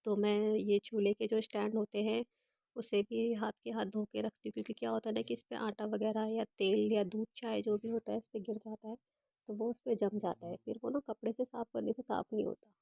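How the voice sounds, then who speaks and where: A young woman vlogger with a hoarse, gravelly voice from a cold and a dark, mellow tone. She talks in a flat, even way, explaining her kitchen chores step by step. The recording is dry and close. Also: medium pitch, fairly quick, dry recording. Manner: chatty, explains her daily routine step by step. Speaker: home vlogger. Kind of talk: casual voice-over narration of home chores.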